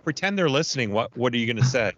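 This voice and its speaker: A man co-hosting a casual interview podcast, speaking in a halting, mock-serious way. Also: medium pitch, animated, fairly bright tone. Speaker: podcast co-host. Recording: slightly dull, lo-fi audio.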